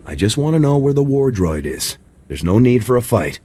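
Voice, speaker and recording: An actor playing a calm, firm soldier hero in a video game, with a dry delivery. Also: male voice, mid-range voice, moderate pace, husky. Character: composed, steely male soldier hero.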